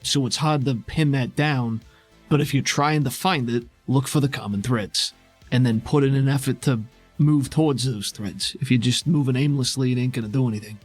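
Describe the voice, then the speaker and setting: Game character: relaxed, gently teasing male mentor played by a man, casual folksy talk, music underneath. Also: mid-range voice, normal speed, lightly acted. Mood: patient. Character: laid-back, teasing male guide inside a woman's mind.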